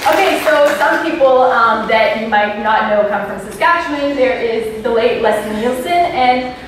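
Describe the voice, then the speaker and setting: A woman's voice: on the high side, moderate pace. A young woman with a French Canadian accent, a native French speaker, giving an informal student presentation in a nervous, chatty way. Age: young adult. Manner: nervous, self-conscious, chatty. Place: echoey indoor room, recorded from a distance. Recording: very echoey room, distant mic, faint noise.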